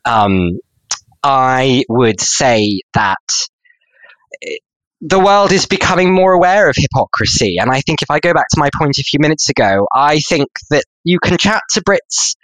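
A middle-aged man gives his views as a panel expert. His voice sits at an average pitch and he reasons reflectively, often posing questions. The recording is very dry, with no room sound. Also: expressive, unhurried, a bit bright, no room sound, poor audio. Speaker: expert guest. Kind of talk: opinion in panel discussion.

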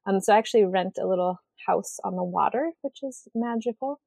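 A woman with an American accent, raised speaking Swedish, talks in a medium-pitched voice. The sound is dry, with little room in it. She speaks casually, as a guest in a conversation. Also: brisk, vocal fry, a little mellow, dry recording. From rural western Washington state, USA. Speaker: podcast guest, writer and artist.

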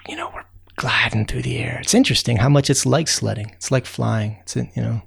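A man's voice: medium pitch, brisk, vocal fry, slightly rough. A man as a rambling friendly raccoon. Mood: easygoing. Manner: rambling, folksy, lots of fillers. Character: friendly chatty male raccoon, easygoing storyteller. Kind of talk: bedtime storytelling.

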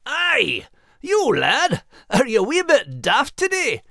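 A cheeky Scottish animal host for a children's story podcast. The delivery is folksy and teasing, with hugely varied pitch. The sound is dry and close. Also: male voice, huge pitch swings, takes it slowly, gravelly, bright, forceful tone, no echo, heavily acted. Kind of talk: scripted children's podcast character banter.

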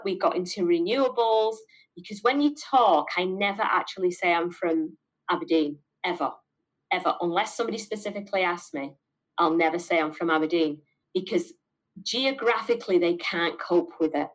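A woman's voice: on the low side, low-quality recording. A middle-aged woman with a broad Mancunian accent speaks in an interview about running her company. She talks frankly and with emphasis, hammering points home by repeating words. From north of England. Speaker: business owner being interviewed. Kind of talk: candid interview answers.

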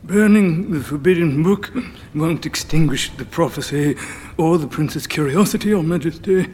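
A man's voice: a little high, moderate pace, darkish tone, slight background noise, close to an everyday voice. A man playing a long-suffering old Lord Chamberlain in an audio drama, formal, deferential and drily ironic. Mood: drily reproachful. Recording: long hall reverb.

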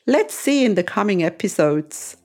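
A woman's voice: mid-range voice, very animated, normal speed, darkish tone. A woman in her sixties from Switzerland, hosting a podcast and telling her memories in a reflective, nostalgic way. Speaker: podcast host. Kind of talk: memoir storytelling narration.

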